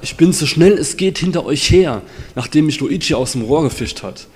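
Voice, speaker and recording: A man's voice: a little high, expressive, moderate pace, a little mellow, husky. A man playing a jolly, boastful hero in an amateur audio drama. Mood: matter-of-fact. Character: jolly boastful hero bursting in to rescue friends.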